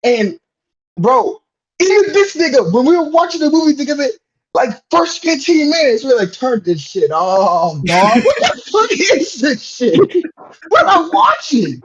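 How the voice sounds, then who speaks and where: High-pitched man ranting casually, lo-fi mic. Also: huge pitch swings, measured pace, crowd noise. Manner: boisterous, heavily profane, slangy, emphatic repetition. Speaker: podcast guest. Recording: dull lo-fi microphone.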